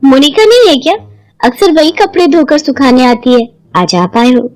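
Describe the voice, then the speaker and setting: A woman voicing a gossipy, meddling young neighbour who flatters slyly in a chatty, insinuating way. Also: high voice, moderate pace, voice pushed up. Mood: flattering, gossipy.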